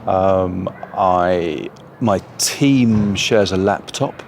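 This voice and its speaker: A man who works in a shared office, answering interview questions in a measured way with frequent "um" pauses. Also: deep voice, slight background noise. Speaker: office worker.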